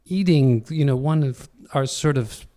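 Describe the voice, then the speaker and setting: A man, a theater director, talking on a live panel in a halting way as he searches for words. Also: mid-range voice, warm tone.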